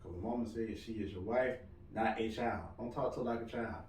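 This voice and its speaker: A married man co-hosting a casual podcast chat, loud-voiced, joking and rambling as he talks. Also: on the low side, fairly quick, slight background noise. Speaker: podcast co-host. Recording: roomy room, mic at a distance.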